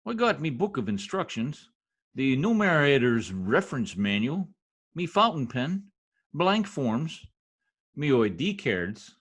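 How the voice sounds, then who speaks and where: A man's voice: medium pitch, very animated, a little slow, a bit bright, slightly rough, heavily acted. A man playing a cocky, bumbling middle-aged husband in a folksy, slangy, self-important way, in 1950s radio comedy style. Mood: breezy.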